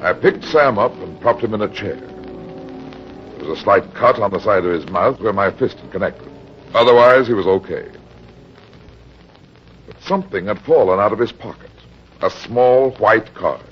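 Dull old radio recording, male actor as shrewd heavyset private eye, American, hard-boiled, husky, animated pitch. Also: moderate pace, long pauses, faint music behind, natural voice. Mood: narrating tersely. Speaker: actor playing detective and narrator. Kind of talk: old-time radio detective drama acting.

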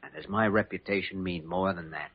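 An actor playing an earnest, resolute young surgeon in an old radio drama, speaking in a measured, grave way. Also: male voice, low voice, breathy edge. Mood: impassioned, resolute. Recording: muffled old radio recording.

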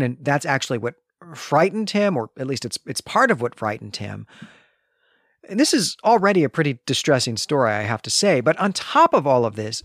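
A man co-hosting a podcast, retelling and analysing a story in a measured, explanatory way. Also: a little high, no echo, studio quality. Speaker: podcast co-host.

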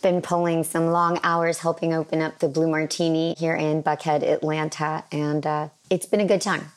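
A woman in her forties co-hosting a podcast in casual chat. She is hoarse and raspy, and she tells stories in an animated way. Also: low voice, moderate pace. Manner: animated storyteller, laughing. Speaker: podcast co-host.

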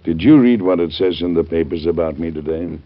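Newly inaugurated president, male actor: low, formal, earnest gentleman, dull vintage radio drama sound. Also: fairly quick, lightly acted. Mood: indignant. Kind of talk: 1950s radio drama acting. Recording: muffled vintage radio recording.

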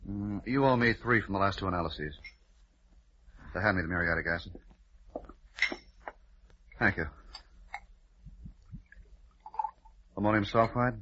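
An actor playing a wry, casual lab chemist, unfazed by gruesome work, in an old-time radio drama. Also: male voice, on the low side, normal speed, long pauses, noisy background, lightly acted. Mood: matter-of-fact. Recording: muffled old radio recording.

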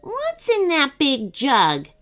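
A woman's voice: high voice, wildly swooping pitch, takes it slowly, a bit bright, soft background music, voice pushed up, full character voice. A woman voicing a snooty, abrupt female cookie who snaps rudely in a children's story. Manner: snooty, snappish.

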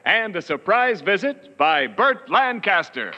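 A man's voice: high voice, big pitch swings, a little slow, very noisy. A man announcing in a polished, emphatic 1940s radio style, reading sponsor lines and scripted narration. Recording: muffled vintage radio broadcast in hall. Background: faint music.

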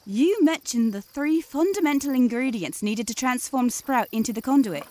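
Lady as a formal magical water elder, highish lilting voice that moves around a lot, fantasy audio drama. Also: animated, moderate pace, a little mellow. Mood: solemn, gracious. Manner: formal, grandly archaic diction. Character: stately female elder of magical water folk, formal and helpful.

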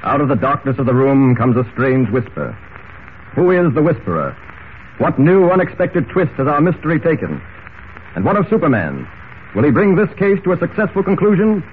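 A man's voice: fairly high pitch, big pitch swings, moderate pace. A man playing a dramatic, urgent announcer, narrating a 1940s radio serial. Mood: suspenseful. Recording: tinny, hissy old radio recording.

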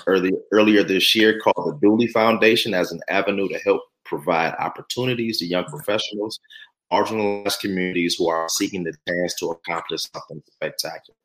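Low-voiced man hosting an interview. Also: a bit bright, rough recording. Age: 40s. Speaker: interviewer and host. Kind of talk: conversational interview.

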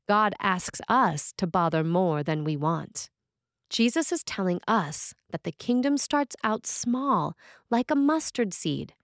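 A woman's voice: mid-range voice, no echo, studio quality. A woman priest reading a scripted sermon.

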